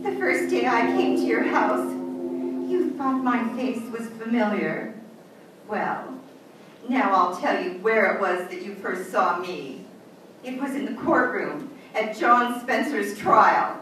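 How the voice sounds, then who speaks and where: A woman playing a cold, vengeful schemer in an old-time radio melodrama, scornful and deliberate. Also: a little high, fairly bright tone. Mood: bitter, vengeful. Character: cold, vengeful woman who double-crosses a judge. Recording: echoey, distant stage recording, faint noise.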